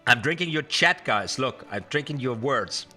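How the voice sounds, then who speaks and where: A man's voice: mid-range voice, animated, normal speed, bright, forceful tone. A man hosting a casual card magic livestream, talking directly to his viewers in a rambling way and repeating words for emphasis. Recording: music behind the voice. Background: music.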